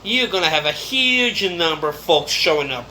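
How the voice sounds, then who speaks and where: A man's voice: on the high side, expressive, normal speed, sharp tone, slight background noise, poor audio. A man from Brazil with a Brazilian Portuguese accent, speaking English as a second language in a rambling, chatty vlog monologue. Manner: rambling, chatty, with restarts and laughs. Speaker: vlogger. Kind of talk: casual monologue to camera.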